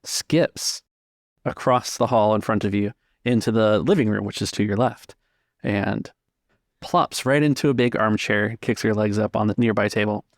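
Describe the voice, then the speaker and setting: A man narrating a tabletop game as game master, descriptive and measured, pausing mid-phrase. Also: fairly low pitch, dry recording, clean studio sound. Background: music.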